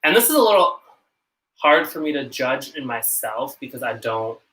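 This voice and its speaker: A young man with a North American accent talks to his camera at home in a loose live-stream chat. His tone is bright and forward, and he rambles a little, sounding self-conscious and breaking into quick laughs now and then. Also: medium pitch, big pitch swings, slight background noise, rough recording. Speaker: channel host.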